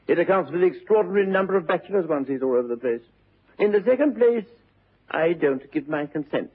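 A witty young English gentleman of leisure, teasing and mock-serious, with upper-class vowels. The voice is slightly high and husky, rising and falling theatrically. It comes through a muffled vintage radio recording. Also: lively intonation, fast. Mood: teasing, emphatic. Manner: arch, mock-serious, precisely argumentative.

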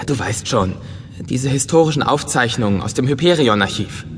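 A man playing an eager, bookish, curious robot in a science fiction audio drama, excitable, earnest and polite. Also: medium pitch, normal speed, music in the background, natural voice. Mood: relieved, eager. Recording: long reverberant hall sound.

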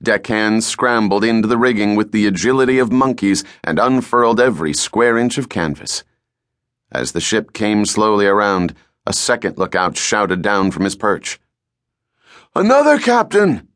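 A man narrating an adventure novel as a scripted audiobook, with measured, deliberate, dramatic storytelling. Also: fairly low pitch, fairly bright tone, dry recording, clean studio sound.

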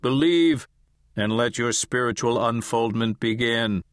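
A man voicing a woman medium who channels a solemn ancient spirit, speaking in archaic, drawn-out pronouncements in an audiobook. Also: medium pitch, no room sound, natural voice. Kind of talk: audiobook character voice.

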